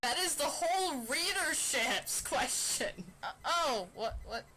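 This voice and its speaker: A woman reads fan fiction aloud in a high, very animated voice. Her delivery is slow and playfully stilted, with asides, and a computer fan whirs behind her. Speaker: amateur reader of fan fiction. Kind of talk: mock dramatic reading. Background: computer fan noise.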